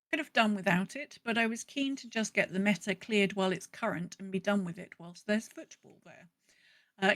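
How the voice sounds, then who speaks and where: A woman's voice: mid-range voice, fairly bright tone. A woman co-hosting a podcast, chatting casually and reading out listener messages in a conversational way with muttered asides. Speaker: podcast co-host.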